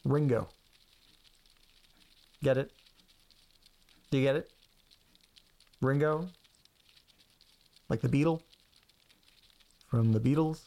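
Guy playing a goofy awkward dude, medium pitch, casual hesitant talk, audio drama. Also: slow pace, long pauses, mellow, low-quality recording. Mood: goofy, pleased. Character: awkward, eager, goofy man with odd enthusiasms. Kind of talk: naturalistic audio drama acting.

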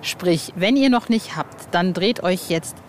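A woman's voice: lowish voice, lively intonation, a little slow, a little mellow, slight background noise. A woman hosting a podcast in a casual, playful and informal way. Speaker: podcast host.

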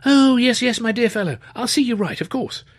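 Man as a posh young English student, high-pitched, swooping, gushing, nervy, stammering, old-fashioned audiobook character. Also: lively intonation, a little fast, no room sound. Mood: nervy, eager. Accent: upper-class English. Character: excitable posh young Edwardian Cambridge student, nervy and chummy.

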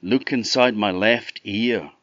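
A man's voice: fairly low pitch, slow, a bit bright, no room sound, lo-fi sound, pitched above the performer's own voice. A man voicing a young woman turned into a mule, speaking in an urgent, determined way.

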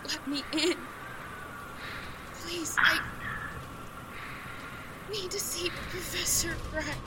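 A frightened wife in a horror audio drama. Her voice is very high and distraught, pleading and repeating phrases at a slow, broken pace, with clear ambient noise behind her. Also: steady tone, heavily acted. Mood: desperate pleading.

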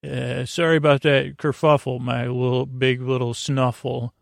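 Gravelly, meandering male bedtime podcast host. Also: mid-range voice, level tone, dry recording, clean studio sound. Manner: halting, meandering, with stammers and self-corrections; goofy, whimsical. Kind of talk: rambling bedtime monologue to help listeners sleep.

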